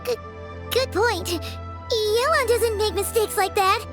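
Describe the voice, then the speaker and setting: A woman voicing a tiny, excitable fairy-like girl companion in a video game cutscene, bubbly and exclamatory. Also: very high-pitched, takes it slowly. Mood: excited. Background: game music.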